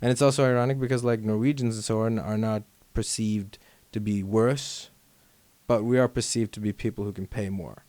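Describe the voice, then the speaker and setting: A man's voice: on the low side, fairly even intonation, moderate pace, a little mellow, no room sound. A man hosting a podcast in casual chat. He rambles, uses many fillers and laughs easily. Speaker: podcast host.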